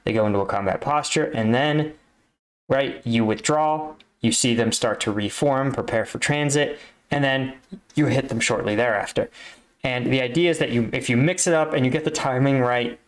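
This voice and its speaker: A man's voice: mid-range voice, moderate pace. A man giving casual reaction commentary, explaining military points step by step and checking in with the listener. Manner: explanatory, often checks in with "right?". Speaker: host, army combat veteran reacting.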